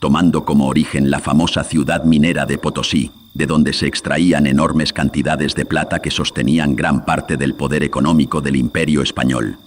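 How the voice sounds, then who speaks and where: A man's voice: a bass voice, steady tone, normal speed. A man narrating a scripted horror tale in a solemn, formal storytelling manner, his voice processed with filters. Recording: background noise and sound design.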